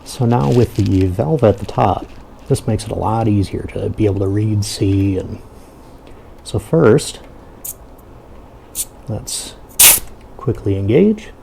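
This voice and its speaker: A man with a lowish, creaky voice talks through a casual product demonstration. His tone is mellow and unhurried as he explains each step. There is faint noise behind him. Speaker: product reviewer and host. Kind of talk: casual hands-on product review.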